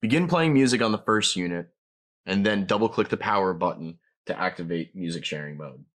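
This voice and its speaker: A man's voice: deep voice, animated, fairly quick. A man narrating a scripted product tutorial, explaining each step plainly as a demonstrator.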